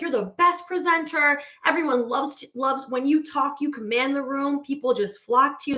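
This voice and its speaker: A woman hosting a casual livestream as a manifestation coach, talking warmly to her viewers and checking in with "okay?" as she goes. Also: a little high. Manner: effusive, repeating "okay?" and reading out viewer comments.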